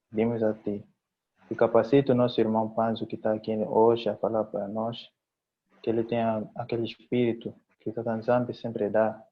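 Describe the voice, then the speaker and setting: A man leading a solemn spoken prayer, building it from repeated parallel phrases. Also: on the low side. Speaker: congregation member leading prayer. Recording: dull lo-fi livestream audio.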